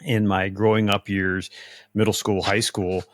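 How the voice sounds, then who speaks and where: A middle-aged man hosting a podcast, speaking slowly and deliberately in a casual conversation. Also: on the low side, level tone, no room sound. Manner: slow, deliberate speech, says he talks slowly to avoid stammering. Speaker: podcast host.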